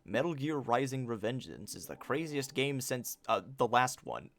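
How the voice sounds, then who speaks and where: A man's voice: mid-range voice, very animated, normal speed, creaky, fairly bright tone. A man streaming a game with casual chat, reading on-screen text aloud and riffing jokingly on it. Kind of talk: casual livestream chat while playing a game.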